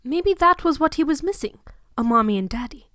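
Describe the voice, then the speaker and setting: A woman's voice: high voice, expressive, a little fast, a bit bright, no echo, clean studio sound, voice pushed up. A woman voicing an innocent, polite little boy in a story, wide-eyed and childlike. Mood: sad.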